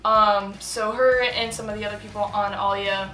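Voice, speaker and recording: A woman's voice: mid-range voice, steady tone, normal speed, sharp tone. A young woman talking casually in an interview, chatty with many fillers and laughs. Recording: roomy echo with faint music.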